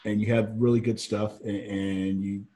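A man's voice: fairly low pitch, normal speed, a little hiss or hum. Chatty man with a faint Southern accent. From Georgia, US. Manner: rambling, animated, frequent restarts. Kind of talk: casual chat.

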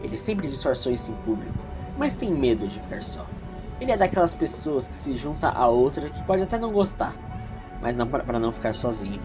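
Animated Brazilian man narrating over music. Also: medium pitch, a little fast. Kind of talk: scripted audio drama narration with chatty asides.

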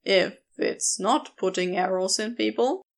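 A woman's voice: mid-range voice, a little slow, creaky, voice pushed down. A woman voicing an easygoing, flirty male wanderer in a storybook reading, casual and candid. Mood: teasing. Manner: casual, laid-back, teasing.

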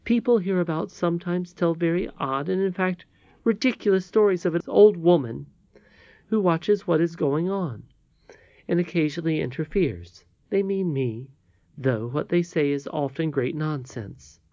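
A man voicing a high, gentle old storybook woman. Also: normal speed, no room sound, lo-fi sound, lightly acted. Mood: cautioning. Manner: gentle, softly admonishing. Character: kindly, mysterious withered old woman, gentle but firm. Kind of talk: storybook character reading.

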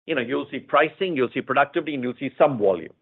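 A man speaking as a company executive, giving measured, explanatory answers in a semi-formal earnings call style, often repeating phrases. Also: mid-range voice, lively intonation, breathy edge. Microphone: conference call line. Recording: narrow tinny phone-line sound.

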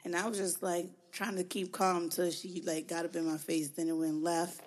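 Brief: hoarse young woman, podcast host, low-pitched for a woman, casual storyteller. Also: level tone, moderate pace. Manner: laughs while telling stories. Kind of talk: casual chat.

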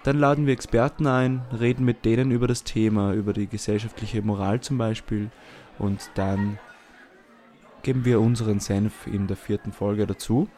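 A man's voice: fairly low pitch, steady tone, normal speed, mellow. Casual Viennese man co-hosting a podcast. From Vienna, Austria. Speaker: podcast co-host.

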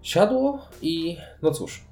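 A man's voice: fairly high pitch, expressive, slow pace, a little mellow, soft background music. A man talking casually about board games as a podcast co-host. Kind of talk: casual board game discussion.

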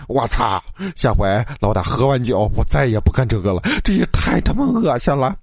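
A man's voice: mid-range voice, sing-song, fairly quick, husky, no room sound. A man voicing a hot-tempered, put-upon young male student who exclaims indignantly in a dramatized story. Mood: disgusted, angry.

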